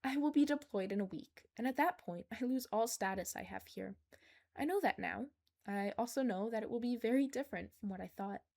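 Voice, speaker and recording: A woman's voice: on the high side, brisk, creaky, darkish tone. Woman voicing an earnest, inquisitive young man. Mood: confessional. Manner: candid, inquisitive. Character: young male analyst, earnest, teasing, candid trainee. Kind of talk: audiobook character dialogue.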